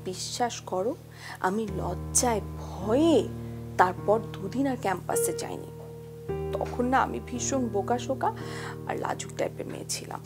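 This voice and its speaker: A sentimental wife in a TV drama, with a very high, lilting Bangladeshi voice. She tells stories in an animated, girlish, intimate way, with music playing underneath. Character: sentimental married woman fondly retelling her love story. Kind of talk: TV drama dialogue, intimate storytelling.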